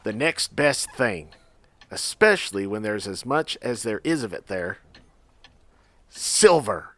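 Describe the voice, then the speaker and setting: A man's voice: on the low side, sing-song, takes it slowly, background noise. A man playing a jovial, avuncular Old West mine boss, folksy and showmanlike, in an audio drama. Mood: gleeful.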